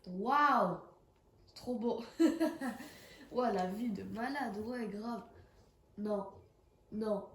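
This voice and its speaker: A woman's voice: medium pitch, extremely slow, long pauses, reverberant, a little hiss or hum. A young woman with a cold, chatting casually and reacting with jumpy exclamations as she plays a horror game. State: has a cold. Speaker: gaming streamer. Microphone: phone.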